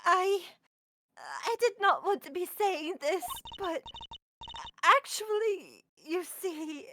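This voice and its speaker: Woman playing a high-voiced, halting foreign witness. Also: sharp tone, slight background noise, exaggerated voice. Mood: reluctant. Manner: halting, clipped foreign grammar. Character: hesitant, secretive young foreign woman witness. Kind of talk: game fandub acting.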